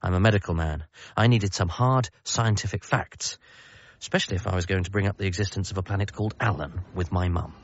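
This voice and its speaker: A wry, excitable grown son in a radio comedy drama. His voice is lowish and rough, a little hesitant, with a slightly muffled recording. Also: fast, dry recording. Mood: wry, intrigued. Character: anxious, excitable grown son, a medical man.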